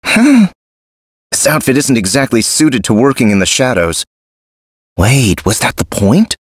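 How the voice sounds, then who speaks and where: An unpretentious young rogue from a video game. His mid-pitched voice is wry and casual, with animated intonation. The recording is dry. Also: measured pace, slightly rough, dry recording.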